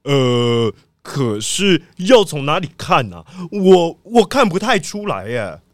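An actor playing an eager, slightly slow male police squad leader, excitable and blustering, in a children's audio drama. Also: medium pitch, no echo. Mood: puzzled, eager.

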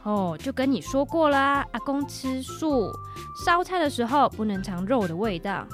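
A woman playing a brisk, nagging but caring mother in a radio drama, with a Taiwanese Mandarin accent. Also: high voice, sing-song, over music. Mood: instructing, chiding. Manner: bossy, coaxing.

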